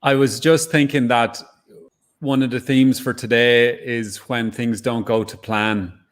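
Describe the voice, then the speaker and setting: Man, event host; soft-spoken, reflective, mid-pitched. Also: fairly even intonation, measured pace. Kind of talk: hosting an online event.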